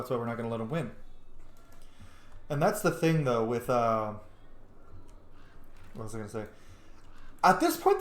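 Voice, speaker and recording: A man giving casual, enthusiastic, rambling commentary as he plays a game. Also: mid-range voice. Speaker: gamer commentating on his playthrough. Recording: echoey room.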